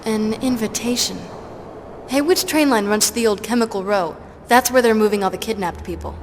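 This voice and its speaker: An actress playing a tough half-vampire heroine in a video game, dry and sarcastic with a bitter edge. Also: female voice, on the high side, brisk, background noise, natural voice. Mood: wry. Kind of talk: video game cutscene acting.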